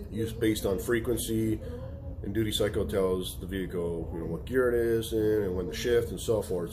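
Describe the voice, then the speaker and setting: Hoarse mechanic with a head cold. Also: male voice, on the low side, background noise. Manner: plain, matter-of-fact explaining. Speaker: auto mechanic. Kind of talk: casual repair update.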